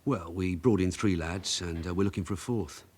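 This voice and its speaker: An actor playing a shrewd veteran detective sergeant in a radio drama, husky, brisk and matter-of-fact. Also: male voice, deep voice, a little mellow. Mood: quiet, probing. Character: shrewd veteran London police detective sergeant, calm and commanding.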